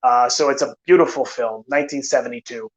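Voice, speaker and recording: Tired film-channel host, rambling casual chat full of fillers, mid-pitched man's voice, a bit dull and lo-fi. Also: fairly quick. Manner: rambling, many "uh" fillers and restarts. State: tired, losing his voice. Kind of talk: casual live-stream chat.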